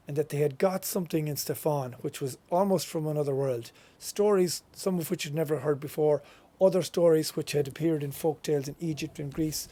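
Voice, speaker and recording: A man's voice: a little high, warm tone. An Irish man, a storyteller, giving an informal spoken presentation on local heritage.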